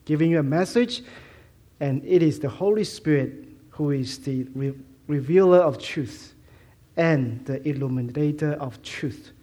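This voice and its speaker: A middle-aged man from Malaysia preaching a sermon in English, with a mixed Malaysian, Hong Kong and Fuzhou accent, halting with many fillers and restarts and self-deprecating humor. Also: fairly high pitch, mellow. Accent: Malaysian Chinese English, mostly Fuzhounese-tinged.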